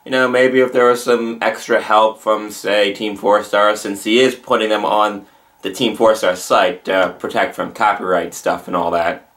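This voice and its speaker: A man's voice: fairly low pitch, steady tone, moderate pace, a bit bright. A man in his twenties giving casual reaction commentary as a video host in a room at home. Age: 20s. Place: small room at home, indoors.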